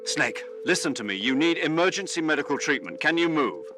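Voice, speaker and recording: Casting: man playing military commander for a game drama, authoritative, concerned, very expressive pitch, music underneath. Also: brisk, natural voice. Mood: urgent concern. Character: commanding male military officer on radio, steady, concerned. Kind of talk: dramatic video game acting.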